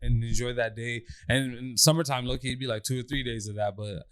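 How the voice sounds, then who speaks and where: A young man chats loosely on a podcast, telling animated stories at an average pitch and jumping into high mocking voices for the people in them. The sound is dry and close to the mic, with no room around it. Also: brisk, clear, resonant tone, dry recording. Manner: animated storyteller, swings into high mock voices. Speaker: podcast co-host. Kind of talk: casual chat.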